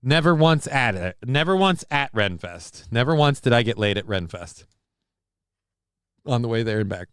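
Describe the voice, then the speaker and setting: Male stream host, middle pitch, smooth clear tone, crude jokey banter into a dry close mic. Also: expressive, normal speed, a bit bright, no echo. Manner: profane, joking, reads viewer comments aloud; mock-grand war speech delivery. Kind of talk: casual live stream chat. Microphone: desk microphone.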